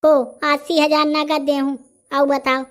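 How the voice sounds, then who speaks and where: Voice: sits high, flat delivery, unhurried, fairly bright tone. An actor with a Bundelkhandi accent voicing a brash, argumentative village man haggling in a comedy cartoon. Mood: boastful, haggling.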